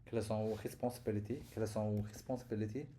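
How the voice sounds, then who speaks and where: Halting man practicing French phrases aloud. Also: on the low side, level tone, mellow, slight background noise. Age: adult. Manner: halting, repeats phrases slowly, stumbles on words. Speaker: adult learner of French. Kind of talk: self-recorded pronunciation practice.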